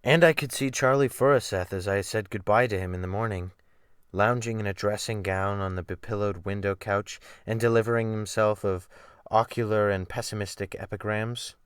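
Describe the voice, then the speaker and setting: Man playing a fussy, bookish gentleman scholar narrator. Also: lowish voice, steady tone, normal speed, clean tone, no echo, studio quality, close to an everyday voice. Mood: weary, brooding. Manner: fussy, literary, emphatic phrasing. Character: refined, bookish, soft-living male scholar, indignant and self-pitying. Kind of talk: dramatic first-person audiobook reading.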